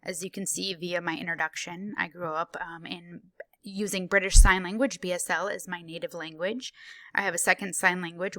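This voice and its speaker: A woman voicing a signed conference presentation into speech, in a measured, formal way, phrase by phrase with small pauses and fillers. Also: lowish voice, gravelly, sharp tone, dry recording. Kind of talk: live spoken interpretation of a presentation.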